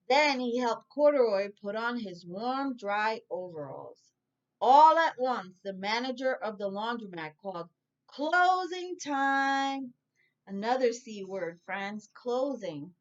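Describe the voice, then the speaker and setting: A woman reads a children's storybook like a teacher. Her voice is fairly high, and she goes very slowly with emphatic expression. The recording is slightly dull. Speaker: teacher reading aloud. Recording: dull lo-fi sound.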